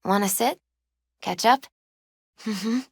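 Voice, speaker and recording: A woman playing a gentle, grieving woman in her twenties in a video game, subdued, hesitant and wistful in naturalistic dialogue. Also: medium pitch, slow, husky, dry recording, studio quality. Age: 20s.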